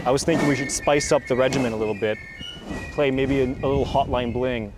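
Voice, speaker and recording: A man hosting a casual vlog outdoors at a historic site, cracking silly jokes and teasing the people around him. His voice sits at an ordinary middle pitch. Loud music plays behind him the whole time. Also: steady tone, fast, poor audio. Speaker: host. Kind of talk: joking on-location vlog chat.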